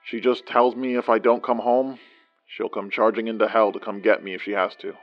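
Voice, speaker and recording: An actor playing a serious grown son in an audio drama, casual and understated with dry good humour. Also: male voice, lowish voice, flat delivery, a little fast, highs cut off, faint music behind, lightly acted. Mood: dry, fond.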